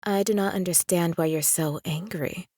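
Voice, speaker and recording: A woman's voice: on the low side, moderate pace, darkish tone, no room sound, studio quality, natural voice. A woman playing a composed, reproving witch with formal phrasing in an audio drama. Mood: exasperated. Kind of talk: audio drama character acting.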